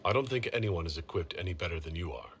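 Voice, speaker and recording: A man's voice: deep voice, animated, fast talker, a little hiss or hum. A man playing a supportive, good-humoured leader in a video game, with plain-spoken delivery. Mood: reassuring. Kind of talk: video game cutscene acting.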